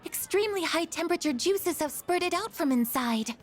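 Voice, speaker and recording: A woman's voice: very high-pitched, animated, moderate pace. A woman voicing a polite, literal-minded young female android in a video game, speaking in formal, precise, stilted sentences. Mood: earnest, curious.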